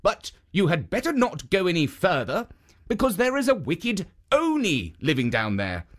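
A narrator voicing a kindly stone statue spirit who protects travellers, speaking gently and earnestly in a raised voice. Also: medium pitch, huge pitch swings, normal speed, pitched above the performer's own voice.

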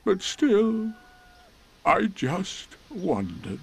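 A man voicing a gloomy, melancholy toy donkey in a storybook, with mournful, drawn-out, resigned speech. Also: sits high, very animated, voice pushed up, full character voice. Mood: self-pitying gloom.

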